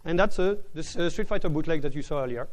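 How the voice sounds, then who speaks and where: High-voiced man giving a tech talk. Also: fast. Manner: restarts words often, calls his own voice bored. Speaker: conference speaker. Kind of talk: technical conference talk.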